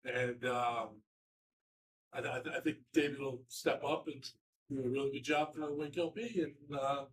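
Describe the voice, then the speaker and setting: A man stands in a meeting room and speaks up from the floor without a microphone, using a loud voice. He talks plainly with pauses, and his mid-pitched voice comes from far off the mic. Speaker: delegate nominating a candidate. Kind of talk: nominating speech from the floor.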